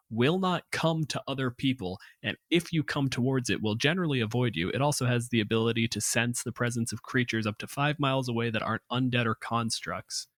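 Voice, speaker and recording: A man's voice: medium pitch, fairly bright tone, dry recording, clean studio sound. A man co-hosting a tabletop gaming podcast, casually analytical, articulate, emphatic and opinionated. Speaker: podcast co-host. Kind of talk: casual analytical discussion of tabletop game monsters.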